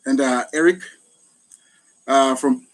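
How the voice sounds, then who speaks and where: A man's voice: medium pitch, takes it slowly, low-quality recording. A middle-aged man with a Jamaican accent hosting a casual live chat, thanking people often. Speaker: gardening channel host, retired soldier.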